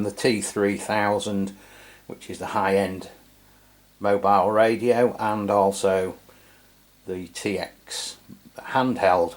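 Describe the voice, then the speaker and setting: Man, middle-aged, English accent, low voice, casual reviewer, hesitant and rambling delivery. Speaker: radio review channel host.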